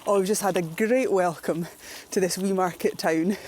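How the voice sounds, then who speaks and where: A Scottish woman talking in a relaxed, reflective way while floating down a river outdoors. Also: lowish voice, moderate pace. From Scotland. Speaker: presenter, endurance cyclist. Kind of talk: casual outdoor narration.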